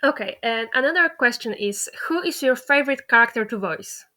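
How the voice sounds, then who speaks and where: A woman's voice: a little high, lively intonation, a little fast, pushed and bright. A woman hosting a casual interview, talking in an enthusiastic, effusive way. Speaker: interviewer, fandub creator.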